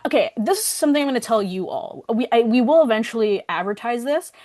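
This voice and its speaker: A woman hosting an online workshop, speaking casually and chattily, often interrupting herself as she makes announcements. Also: fairly high pitch. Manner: informal, chatty, self-interrupting. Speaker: workshop host and event organizer.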